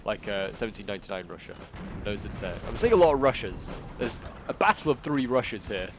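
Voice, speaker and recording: A man's voice: lowish voice, expressive, brisk, noisy background. A man with a stuffy, bunged-up voice from a cold, chatting casually through a strategy game battle and thinking aloud with many fillers. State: congested with a cold. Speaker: game commentator. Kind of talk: casual gameplay commentary. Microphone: new desktop microphone. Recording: game battle sounds behind. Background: gunfire and artillery from the game.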